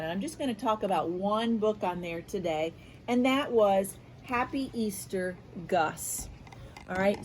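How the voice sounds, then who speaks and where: An American woman leads a read-aloud lesson for young children. She speaks slowly in a medium-pitched voice, expressive and encouraging, leaving room for answers. Faint noise sits behind her. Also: rough recording. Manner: expressive, encouraging, asks questions and pauses for answers. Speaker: school media specialist reading aloud to children.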